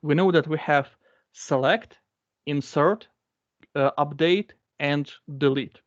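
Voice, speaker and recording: A man's voice: on the high side, slow pace, no echo. A man walking through a database demo in English, which is not his first language, talking in a loose, explanatory way with lots of fillers over a computer microphone. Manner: explanatory, repeats phrases, many fillers. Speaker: guest speaker. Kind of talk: live coding demo. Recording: dull lo-fi dry sound.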